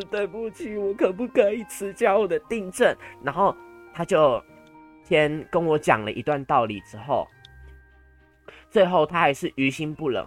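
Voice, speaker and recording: Young Taiwanese man telling stories playfully. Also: on the high side. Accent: Taiwanese Mandarin. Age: teens to young adult, high school senior. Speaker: student podcast host. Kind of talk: casual storytelling chat.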